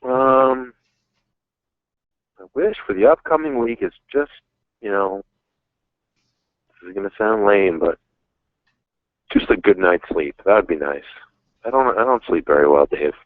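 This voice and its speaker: A man's voice: lowish voice, expressive, unhurried, pauses often, no echo. A man in his thirties calling in, chatting casually and frankly about himself with some self-mockery. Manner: frank, self-deprecating, rambling. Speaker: caller. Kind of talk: casual chat. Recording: dull phone line.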